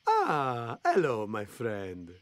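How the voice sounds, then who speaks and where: Effusive Italian car, wildly swinging pitch. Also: male voice, huge pitch swings, drawn-out words, no echo, heavily acted. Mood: welcoming, proud. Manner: broken English, effusive. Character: excitable, friendly Italian male tire-seller car. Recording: loud vehicle noise behind.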